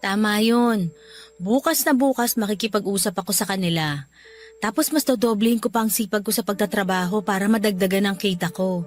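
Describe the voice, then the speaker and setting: A woman's voice: medium pitch, brisk, close to an everyday voice. A woman acting a hard-working mother in a sentimental radio drama. She sounds plaintive and tender, a careworn parent speaking to her child. Faint music plays behind her. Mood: relieved, reassuring. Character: worried, loving, hard-working poor mother. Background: background music.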